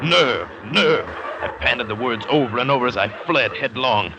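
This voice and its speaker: A man's voice: mid-range voice, expressive, moderate pace, noisy background, close to an everyday voice. An actor playing a hunted big-game hunter who narrates his escape tensely and speaks clipped and defiant in 1940s radio drama style. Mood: desperate. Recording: dull old radio broadcast with music.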